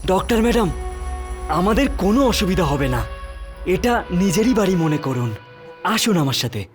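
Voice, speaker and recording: Man doing worried young cartoon son, high voice, polite n earnest, music behind. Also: very animated, a little fast. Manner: earnest, pleading.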